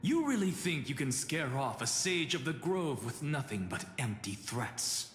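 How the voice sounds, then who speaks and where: A man's voice: mid-range voice, very animated, measured pace, fairly bright tone. A man voicing a proud, sardonic scholar sage in a video game, speaking in a pompous, theatrical manner. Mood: haughty, stern. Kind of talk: dramatic video game voice acting. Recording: echoey hall-like reverb with music.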